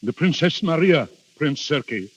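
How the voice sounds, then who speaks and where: An actor playing a deferential aristocratic duke in a 1930s radio drama, speaking formally and a little hesitantly as an uneasy host. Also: male voice, a little high, animated, normal speed, slightly rough. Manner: formal, hesitant, polite introductions. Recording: dull, crackly old radio broadcast.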